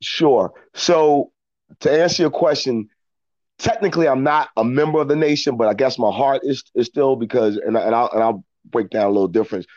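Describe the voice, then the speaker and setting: A middle-aged American man telling reflective personal stories as an interview guest, in a halting way, often repeating words as he thinks. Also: medium pitch, a little mellow, no echo, lo-fi sound. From Philadelphia, United States. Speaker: interview guest, actor and storyteller. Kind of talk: reflective personal storytelling in conversation.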